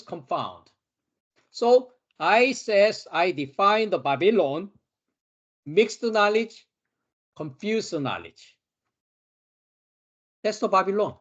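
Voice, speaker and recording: A man with a Korean accent, a Bible teacher giving a study lecture, slowly and emphatically repeating key words. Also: sits high, highs cut off.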